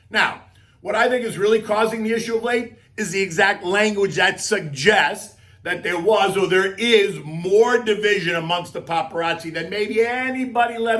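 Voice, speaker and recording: A man with a scratchy, hoarse voice giving emphatic, conversational market commentary as a host, full of rhetorical questions and folksy asides. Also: high voice, sharp tone. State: hoarse, losing voice. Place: outdoors.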